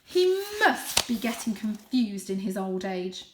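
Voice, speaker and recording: A woman voicing a domineering father cat in a storybook, dismissive and quick to anger, speaking with forceful emphasis. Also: mid-range voice, very animated, reverberant, background noise.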